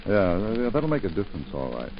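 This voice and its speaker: A man's voice: sits low, huge pitch swings, fast talker. An actor playing a fond husband and father who hesitates and stammers in a 1940s radio drama. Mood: sheepish. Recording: dull 1940s radio broadcast with faint hiss.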